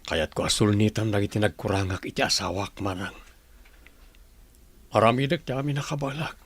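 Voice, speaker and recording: An actor in a radio drama playing an earnest, remorseful husband who pleads with devotion. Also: male voice, fairly low pitch, a little fast, slightly rough. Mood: hopeful, earnest.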